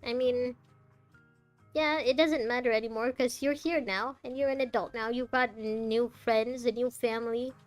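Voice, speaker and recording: A woman's voice: fairly high pitch, fairly even intonation, normal speed. A woman streaming a game with casual, chatty commentary, reacting aloud to the characters. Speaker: gaming streamer. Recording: game music faintly behind.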